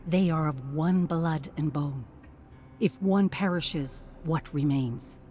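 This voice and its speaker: A woman narrator giving a solemn, deliberate, dramatic reading of a scripted game story. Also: low-pitched, warm tone. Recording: music behind the voice.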